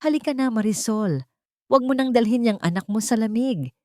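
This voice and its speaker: A woman voicing a caring older female shopkeeper who speaks in a motherly, gently instructing way. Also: fairly high pitch, expressive, brisk, dry recording, clean studio sound, pitched above the performer's own voice, close to an everyday voice.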